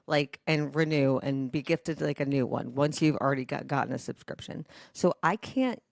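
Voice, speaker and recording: A woman podcast host telling stories in casual chat, with an animated, dramatic and sweary manner. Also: low-pitched, normal speed, creaky, no room sound, studio quality.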